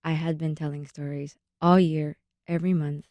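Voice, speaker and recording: A woman's voice: deep voice, little pitch movement, moderate pace, no echo, lo-fi sound. A young woman, a storyteller, chatting earnestly in a radio interview with some hesitation. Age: young adult. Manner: hesitant, earnest, with fillers and restarts. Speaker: storyteller and massage studio owner. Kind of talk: casual interview chat. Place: radio studio.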